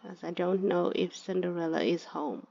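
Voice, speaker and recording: A woman's voice: on the low side, fairly even intonation, moderate pace, creaky, mellow, slightly rough. A woman giving chatty, casual commentary on her gameplay and talking to her game characters, in a slightly dull lo-fi recording. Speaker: gaming video creator.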